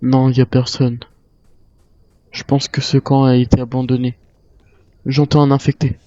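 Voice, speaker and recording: A man with a dark, relaxed voice at a medium pitch narrates his survival game in character. He mutters his thoughts aloud as he improvises, on a slightly dull microphone. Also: normal speed, no echo. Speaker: gamer roleplaying a zombie-island survivor.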